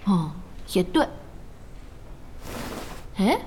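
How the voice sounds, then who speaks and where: A woman acting a curious young female boss in a radio drama, expressive, inquisitive and playfully teasing. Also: mid-range voice, drawn-out words, slight background noise. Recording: studio voice over faint music.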